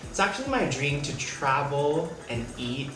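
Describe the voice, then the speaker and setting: A man's voice: on the high side, sing-song, a little slow. A young man from Hong Kong chatting playfully while he cooks in his small apartment. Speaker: vlogger, home cook. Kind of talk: casual cooking vlog chat. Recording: echoey room with music behind.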